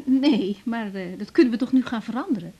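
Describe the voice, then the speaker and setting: A young doc on her first day, kinda high, soft and warm, polite but a bit unsure. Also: animated, moderate pace, husky, muffled recording. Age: young adult. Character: young female doctor, new and earnest. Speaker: doctor. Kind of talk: 1980s radio drama acting.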